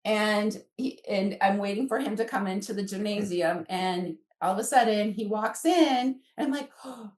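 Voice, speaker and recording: A woman's voice: medium pitch, normal speed, few pauses, far from the mic. A woman in her fifties with an American accent tells stories casually, acting out remembered dialogue as she goes. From Alaska, United States. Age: 50s. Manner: animated, acts out remembered dialogue. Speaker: guest author. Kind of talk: casual conversational storytelling. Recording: roomy echo, away from the mic.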